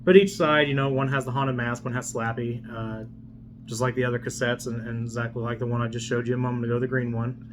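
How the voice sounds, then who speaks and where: A man's voice: medium pitch, fast. A man chatting casually and unscripted as he presents an unboxing video, in a rambling, conversational way. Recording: clear steady background hum.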